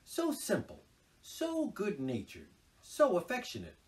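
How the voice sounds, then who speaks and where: A man voicing a good-natured, brisk male water rat. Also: high voice, wildly swooping pitch, darkish tone, far from the mic, voice pushed up, heavily acted. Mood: hearty. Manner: brisk, hearty, chatty.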